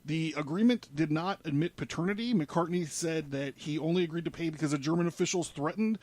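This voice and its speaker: A man's voice: on the high side, a little fast, a little mellow, no room sound. A man presenting a podcast in a studio, reading news articles aloud with informal asides. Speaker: podcast presenter laying out a case.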